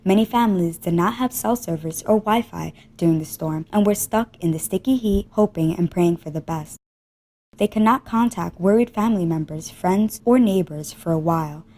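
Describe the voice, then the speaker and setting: A woman's voice: fairly low pitch, moderate pace, warm tone. Young woman reporter reading scripted news. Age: young adult.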